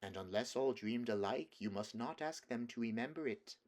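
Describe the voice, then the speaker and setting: A man voicing a soft-spoken, coaxing witch queen in a storybook reading, in a sweet, quiet, half-mocking way. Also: medium pitch, moderate pace, lightly acted. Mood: soothing. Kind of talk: audiobook character voice.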